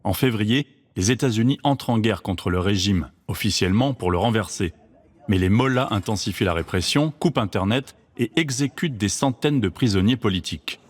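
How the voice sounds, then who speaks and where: A man reading a scripted documentary voice-over in a measured, even way. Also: fairly low pitch, breathy edge. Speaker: documentary narrator.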